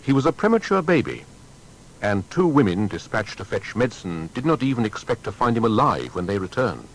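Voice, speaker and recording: Man, scripted biography narration, deep-leaning voice, measured drama, formal wording, faint background noise. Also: big pitch swings, poor audio.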